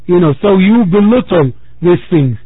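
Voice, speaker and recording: A man giving a religious talk to a congregation as preacher, measured and repeating phrases for emphasis. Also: high-pitched, lively intonation, slightly rough, dry recording. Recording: tinny phone-like, dry sound.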